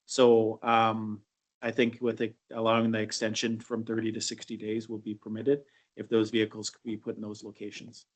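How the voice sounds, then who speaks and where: A man on the council staff, explaining a permit condition in an even way. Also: fairly low pitch, normal speed.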